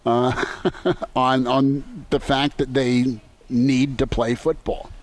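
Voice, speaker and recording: American male sports radio host, conversational delivery, medium pitch, on location. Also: little pitch movement, slow pace. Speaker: sports talk show host. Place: on location at a golf tournament.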